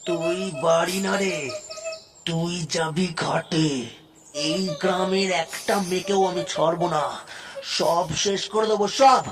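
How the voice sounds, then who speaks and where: A performer voicing a merciless male kidnapper in a cartoon, with a threatening, drawn-out delivery. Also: mid-range voice, fairly even intonation, noisy background, close to an everyday voice. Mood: gloating, threatening. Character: menacing, merciless male kidnapper and killer villain. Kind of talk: cartoon villain dub.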